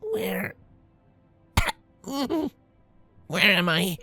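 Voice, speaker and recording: A woman voicing an injured young female pony, distraught and blunt, with some rasp, in a fan fiction audio drama. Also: high voice, huge pitch swings, very slow, over music, full character voice. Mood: dazed. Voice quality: raspy.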